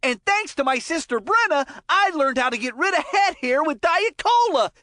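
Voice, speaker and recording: High-pitched, excitable kid hockey commentator. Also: female voice, moderate pace, dry recording, studio quality. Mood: excited. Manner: chirpy, folksy, giggly. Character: excitable, clumsy, good-natured child hockey commentator. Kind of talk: cartoon video game sports commentary.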